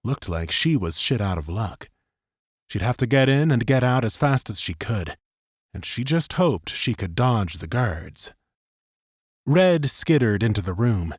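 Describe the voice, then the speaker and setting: A man's voice: mid-range voice, dry recording, studio quality. A man narrating a scripted third-person story as an audiobook narrator.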